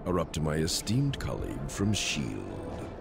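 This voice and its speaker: Menacing game crime boss: very low, gravelly, lively pitch, loud score underneath. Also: male voice, full character voice. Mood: smug, menacing. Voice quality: deep gravelly voice. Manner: slow, measured, condescending. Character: menacing crime boss, huge bald man, calm and smug. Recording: game cutscene with loud music.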